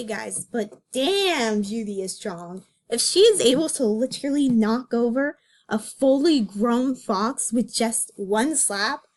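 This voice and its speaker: High-voiced woman reacting casually. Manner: incredulous, slangy. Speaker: amateur comic reader.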